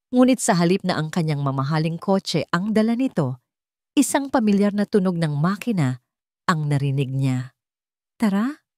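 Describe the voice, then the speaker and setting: A woman's voice: fairly low pitch, darkish tone, no room sound, studio quality. A woman reading scripted narration of a sentimental love story.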